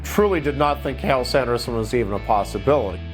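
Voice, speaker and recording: A man's voice: mid-range voice, expressive, quick pace, faint music behind, poor audio. A middle-aged American man, a radio sports broadcaster, telling stories reflectively in an interview. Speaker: radio wrestling play-by-play broadcaster.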